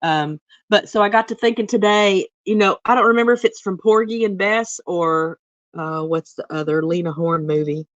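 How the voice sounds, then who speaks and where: A woman hosting a cooking livestream from her home kitchen, chatting to viewers in a rambling way while she works, her voice a little lower than usual from a cough. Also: normal speed, no room sound, dull audio. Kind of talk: casual livestream chat while baking. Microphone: phone mic.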